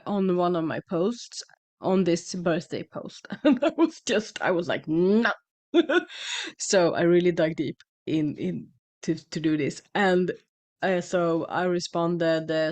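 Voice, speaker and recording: This is a woman with a Swedish accent and a somewhat low voice. She speaks casually to her audience in English, which is not her first language. Her delivery is hesitant and halting, full of "uh"s, fillers and self-corrections. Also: darkish tone. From Sweden. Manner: hesitant, many fillers and restarts. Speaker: channel host. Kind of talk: casual monologue to camera.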